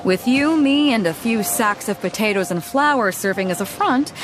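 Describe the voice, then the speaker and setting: A woman voicing a confident, easygoing female ship captain in a video game cutscene, speaking in a relaxed, knowing way. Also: medium pitch, background noise. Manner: relaxed, knowing, laughs often. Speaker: fleet captain. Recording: game music under the voice.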